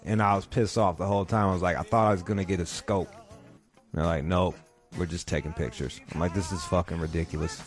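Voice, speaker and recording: A man's voice: low voice, fairly even intonation, fairly quick, soft background music. A man hosting a podcast from home, rambling through a casual, profane rant about his day. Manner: rambling, swears constantly, ranting. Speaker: podcast host.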